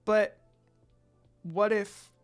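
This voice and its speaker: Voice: mid-range voice, fairly even intonation, very slow, smooth, soft background music, pitched above the performer's own voice. Narrator doing a sweet young priestess girl, bit hesitant, kind, story reading.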